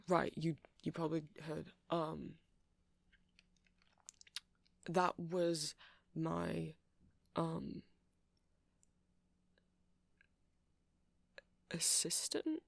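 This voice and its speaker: A man's voice: sits high, creaky, dry recording, exaggerated voice. A man playing an awkward, rambling vampire music shop owner who stammers and hesitates in a casual ASMR roleplay. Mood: embarrassed, hesitant. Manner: hesitant, stammering, apologetic, nervously chuckling.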